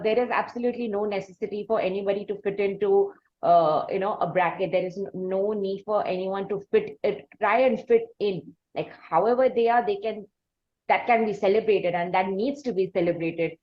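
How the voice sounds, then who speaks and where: A woman's voice: medium pitch, fairly even intonation, a little fast. A woman with an Indian accent hosting a conversational interview, asking long, hesitant, circling questions. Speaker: podcast host and interviewer. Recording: dull lo-fi audio.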